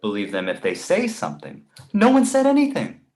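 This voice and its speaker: A man's voice: medium pitch, wildly swooping pitch, brisk, darkish tone. A man playing a cautious, exasperated book editor friend in a comedy table read.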